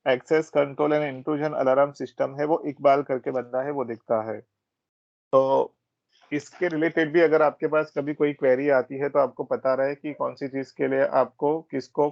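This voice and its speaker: Indian man presenting, slightly creaky, muffled audio. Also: mid-range voice, dry recording. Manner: explanatory, switches between Hindi and English. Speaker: technical product trainer at a systems company. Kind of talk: informal training presentation. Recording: dull, band-limited close audio.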